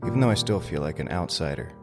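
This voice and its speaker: Guitar music plays prominently under an actor playing a reflective male survivor. He narrates in a lowish voice, hopeful, as the outsider of an audio drama. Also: lively intonation, quick pace.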